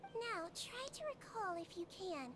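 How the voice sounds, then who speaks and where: A woman voicing a small, childlike head nurse in a video game, calm and matter-of-fact. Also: very high voice, unhurried, noisy background. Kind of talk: scripted video game voice acting.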